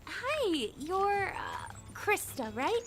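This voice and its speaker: Actress playing friendly young female survivor, very high voice, lively intonation, chirpy, eager, audio drama. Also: extremely slow, creaky, faint music behind, exaggerated voice. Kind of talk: scripted audio drama acting.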